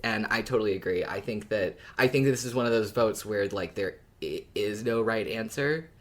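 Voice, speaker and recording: A woman's voice: extremely low pitch, moderate pace. A woman co-hosting a fan podcast in a casual, conversational style. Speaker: podcast co-host.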